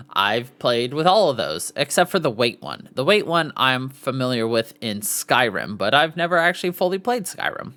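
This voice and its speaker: Podcast host reading aloud with lively pitch swings. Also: moderate pace. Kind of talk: reading an article aloud with brief asides.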